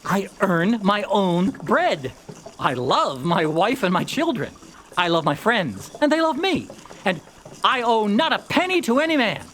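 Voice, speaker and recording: A man's voice: high-pitched, very animated, unhurried, fairly bright tone, a little hiss or hum, full character voice. A man voicing a merry, humble, contented miller in a storybook tale, with a cheerful, singsong manner. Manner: cheerful, singsong, often bursts into song. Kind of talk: storybook character voice.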